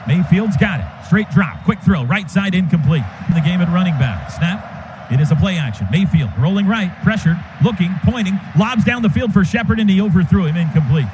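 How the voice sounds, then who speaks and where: A man doing live radio play-by-play of a football game in clipped, rapid calls that burst into shouts on big plays. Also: sits high, noisy background. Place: stadium radio booth.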